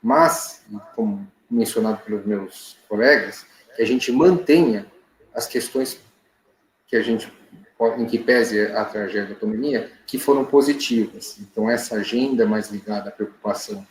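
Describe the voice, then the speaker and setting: Male panelist, lower voice, formal manner, roomy sound. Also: expressive, normal speed, slight background noise. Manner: formal, grateful. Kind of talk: closing remarks on a webinar panel.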